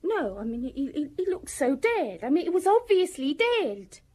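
A woman's voice: high voice, very animated, moderate pace, darkish tone. An actress playing a defiant, grieving young working-class woman in a radio drama, blunt and defensive. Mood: shaken, defensive.

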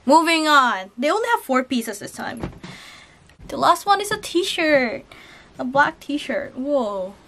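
A young woman with a cold, chatting excitedly as she unboxes and shows clothes in a haul video. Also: sits high, takes it slowly, a little hiss or hum. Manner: chatty and excitable, chuckles at prices. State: has a cold. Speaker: channel host unboxing a lucky bag. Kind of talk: casual haul vlog voice-over. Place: indoors at a table.

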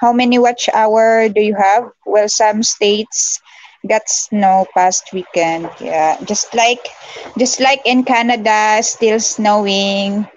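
A young Filipino woman with a Filipino accent and Tagalog as her first language, chatting casually as a livestream host and haltingly searching for English words. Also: mid-range voice, fairly even intonation, no echo, lo-fi sound. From Philippines. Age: young adult. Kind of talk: casual livestream chat with viewers. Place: small room at home, indoors.

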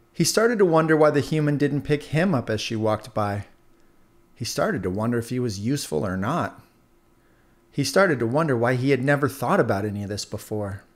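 Animated man reading a children's story. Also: mid-range voice. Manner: expressive, animated. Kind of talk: scripted children's story narration, read aloud.

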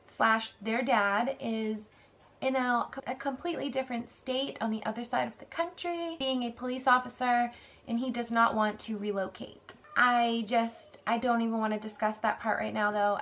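A young woman in her twenties chatting casually in a bedroom haul vlog, rambling with lots of fillers, her voice slightly hoarse. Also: a little high, a bit bright. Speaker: vlogger. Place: bedroom, indoors.

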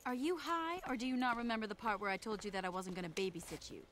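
A woman's voice: medium pitch, fast talker, a bit bright, slight background noise, natural voice. Actress as blunt, sarcastic female gang boss. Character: tough, foul-mouthed, domineering female street gang boss. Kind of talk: video game cutscene acting.

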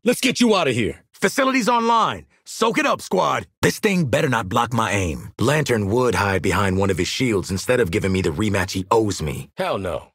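An actor playing a cocky, world-weary assassin in a video game, with a raspy American voice and a brash, streetwise, wisecracking manner. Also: male voice, mid-range voice, fairly bright tone, no room sound, clean studio sound, exaggerated voice. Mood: urgent, commanding.

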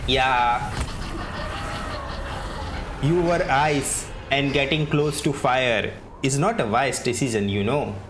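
A man doing casual playthrough commentary as a gaming streamer, halting as he thinks aloud. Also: medium pitch, noisy background. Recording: game music behind voice. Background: video game music.

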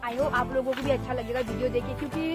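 A young woman speaking softly and earnestly outdoors by rice fields, picked up a little away from a phone camera. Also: sits high, steady tone, quick pace, music in the background. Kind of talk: casual on-camera comment.

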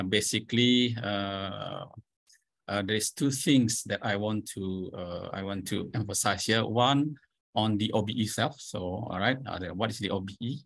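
Middle-aged man, low dry voice. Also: a bit bright, no echo. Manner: halting, frequent fillers and restarts. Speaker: panel speaker, professor. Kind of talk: conference presentation. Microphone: video call.

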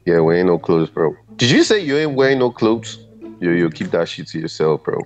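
A man's voice: low voice, big pitch swings, fairly quick, dull audio, faint music behind. A man from Nigeria chatting casually as a caller on a voice chat app.

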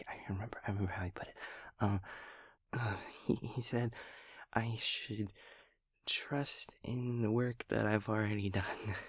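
Audio roleplay, male actor as scatterbrained young male empathy daemon, guileless and sweet, halting delivery with gravelly fry, very dry close sound. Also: medium pitch, no echo. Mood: hesitant, earnest. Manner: stammering, repeating words, breaking into giggles. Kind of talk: intimate audio roleplay monologue.

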